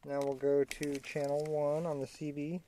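A man talking through a hands-on tutorial in a casual, halting way, thinking aloud as he works. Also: a little high, flat delivery, dark tone. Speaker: amateur how-to demonstrator. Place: inside a parked vehicle. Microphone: handheld camera mic. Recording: clearly noisy amateur camera audio, clicks.

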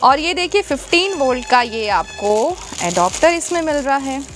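A woman's voice: high-pitched, very animated, normal speed. A young adult woman, demonstrating a product to viewers and explaining it step by step. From India. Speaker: product reviewer and presenter. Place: indoors, small room stacked with product boxes.

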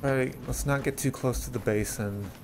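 A man's voice: mid-range voice, moderate pace, noisy background. A man giving casual, rambling game commentary, thinking aloud as he plays. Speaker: gameplay host.

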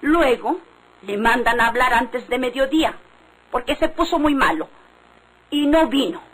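Stubborn, high-voiced Mexican village woman. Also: animated, a little slow, a bit bright, exaggerated voice. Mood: suspicious. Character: stubborn, suspicious village woman insisting on foul play. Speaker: actor in a radio drama. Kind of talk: vintage Mexican radionovela acting. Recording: faintly noisy old radio recording.

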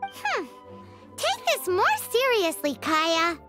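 A tiny fairy companion from a video game, chirps in an extremely high, childlike voice. She swings widely in pitch, eager and quick to get indignant. Music plays clearly behind her. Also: wildly swooping pitch, full character voice. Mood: pouty, curious. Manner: speaks of herself in the third person. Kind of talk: animated video game dialogue.

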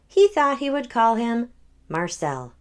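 A woman hosting a children's read-aloud, narrating a picture book in an expressive storytelling manner. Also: a little high, measured pace.

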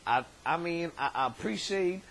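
A man in his thirties, hoarse from shouting, hosting a casual vlog from his bedroom on a webcam, rambling with many stammered repeats. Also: on the high side, animated, normal speed, slight background noise. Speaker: show host. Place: bedroom, indoors. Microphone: webcam at desk distance.

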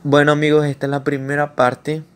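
A Latin American man narrating a screen-recorded tutorial in an informal way, pausing with fillers and restarting his sentences. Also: fairly high pitch, monotone, fairly bright tone, smooth. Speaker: YouTuber explaining online earnings.

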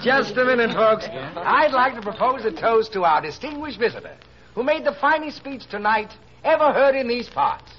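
A man's voice: very high voice, sing-song, moderate pace. An actor playing a hearty, jovial small-town man in 1940s radio drama. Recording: muffled old radio with crowd.

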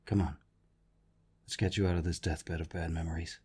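An actor playing a gruff, protective older war veteran in an intimate audio roleplay, deep-voiced, tender and teasing. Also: male voice, darkish tone, full character voice. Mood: wry, teasing.

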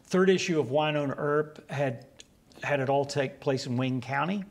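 A man in his sixties from West Virginia with a West Virginian accent, telling rambling stories in a relaxed interview on a small studio set, acting out the exchanges he quotes. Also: medium pitch, moderate pace. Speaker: guest, comic book writer. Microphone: lapel.

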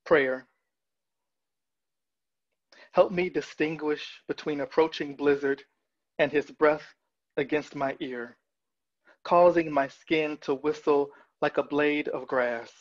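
A man in his thirties reading poetry with deliberate, measured phrasing over a video call. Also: a little high, fairly even intonation. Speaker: poet. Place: small room at home with bookshelf. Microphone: video call, wearing headphones. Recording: dull, lo-fi video call audio.

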